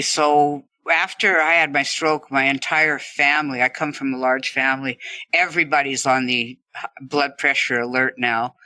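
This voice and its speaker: Bright-voiced man recounting his stroke. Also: a little high, no echo. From Canada. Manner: relaxed, laughs while telling his story. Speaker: stroke survivor guest. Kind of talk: conversational interview storytelling.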